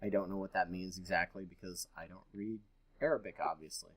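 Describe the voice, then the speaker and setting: A man's voice: low-pitched, fairly even intonation, brisk, a bit bright, husky. Skeptical man reading aloud and commenting. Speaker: host.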